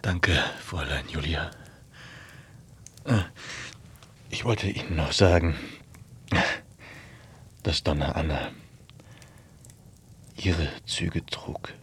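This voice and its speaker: An actor playing an ailing, brooding Romantic writer in a radio play, slow and dramatic, with a hoarse, breathy voice. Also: male voice, a bass voice, fairly bright tone, heavily acted. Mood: faltering, wistful. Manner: slow, deliberate, dramatic delivery. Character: ailing brooding male Romantic writer spinning eerie tales. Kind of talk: German radio play acting.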